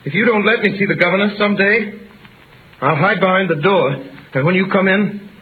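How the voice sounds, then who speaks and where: A man's voice: high voice, a little fast, natural voice. A man playing a wrongly imprisoned young sailor, desperate and brooding, in intense vintage radio drama style. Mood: desperate, raving. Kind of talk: 1930s-40s radio drama acting and narration. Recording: noisy, muffled vintage radio recording.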